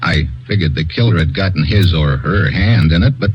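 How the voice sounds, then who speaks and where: A man's voice: low voice, lively intonation, normal speed. An actor playing a tough, wisecracking male agent in a 1950s radio drama, dry and confident. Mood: wry. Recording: dull old radio broadcast.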